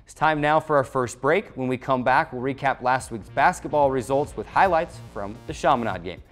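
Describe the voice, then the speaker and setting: A young American man hosts a sports recap from a studio desk. He reads scores and stats in a mid-pitched voice, with faint music underneath. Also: normal speed. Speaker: sports show host.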